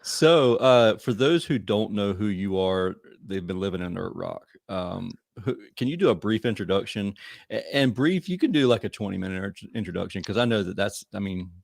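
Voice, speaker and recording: A man's voice: fairly low pitch, lively intonation, moderate pace, gravelly, dry recording, studio quality. A man hosting a podcast, introducing his guest in a laid-back, jokey way. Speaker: podcast host. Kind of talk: casual interview chat.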